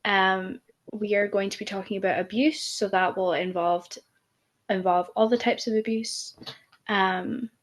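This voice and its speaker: A woman's voice: medium pitch, steady tone, a little slow. A woman with a mid-range voice opens a home podcast discussion. She speaks hesitantly, leaning on ums and leaving sentences half-finished before starting again. Her delivery is informal and unscripted. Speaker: podcast co-host. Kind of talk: casual conversational podcast talk.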